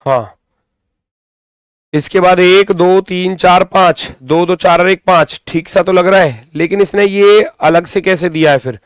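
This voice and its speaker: Male coaching teacher, higher-pitched, recovering throat, dry close sound, informal and directive, repeats key phrases. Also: a little slow, clear, resonant tone, no room sound. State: strained throat, recovering from lost voice. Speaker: reasoning teacher for exam coaching. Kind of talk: live class lesson, thinking aloud.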